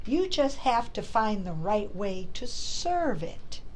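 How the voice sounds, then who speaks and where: A woman's voice: medium pitch, very animated, takes it slowly, darkish tone. A woman voicing a kindly, plain-spoken old grandpa in a children's storybook, emphatic and straightforward. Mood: frank, reassuring.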